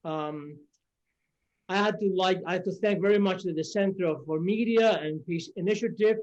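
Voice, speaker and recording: An older man with a Cuban Spanish accent speaks in a high, light voice, giving a formal conference talk. He is measured and pauses on fillers. The sound is dull and lo-fi, like video call audio. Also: darkish tone. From Cuba. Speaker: auxiliary bishop, conference speaker.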